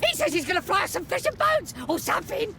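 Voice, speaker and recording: An actor playing a small, eager, servile goblin-like underling in a video game, shrill and excitable. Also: male voice, fast, vocal fry, soft background music, heavily acted. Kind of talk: video game character acting.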